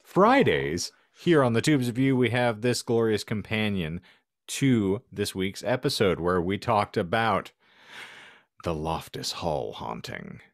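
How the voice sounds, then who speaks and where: A man's voice: on the low side, very animated, a little slow, no room sound, studio quality. A man hosting a comedic podcast in florid, theatrical, wordy language. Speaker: podcast host. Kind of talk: casual comedic podcast banter.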